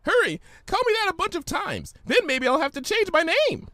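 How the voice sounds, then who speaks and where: A man's voice: very high-pitched, wildly swooping pitch, moderate pace, pushed and bright, no room sound, voice pushed up, exaggerated voice. A man voicing a bubbly, carefree young female pony in a story, chatty and playful. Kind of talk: storybook character acting.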